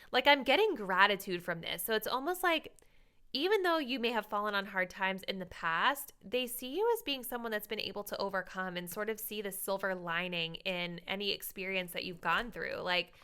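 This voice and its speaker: A young woman reading tarot cards for her viewers in a chatty, thinking-aloud way, her voice a little raspy. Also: mid-range voice, pushed and bright. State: slightly raspy voice. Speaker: tarot reader.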